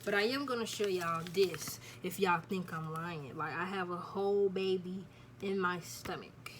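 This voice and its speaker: A woman's voice: on the low side, unhurried, slight background noise. A nineteen-year-old woman, chatting casually to camera and repeating herself, telling viewers "y'all" about her news. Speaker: vlogger. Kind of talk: casual storytime chat to camera.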